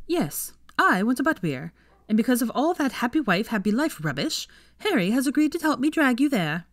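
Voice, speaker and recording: A woman's voice: a little high, very animated, normal speed, no echo, studio quality, pitched above the performer's own voice. A woman voicing a bold, snappy, teasing young witch in a storybook reading. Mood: brightly insistent. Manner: snappy, forceful, playful.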